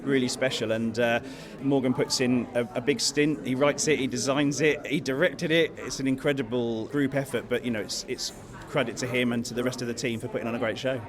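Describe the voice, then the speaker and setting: A man's voice: medium pitch, steady tone, brisk, hardly any pauses. A man in a casual interview, talking emphatically and piling up repeated phrases at a busy event. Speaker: pantomime producer interviewed. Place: busy theatre at an awards event. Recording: busy crowd chatter behind.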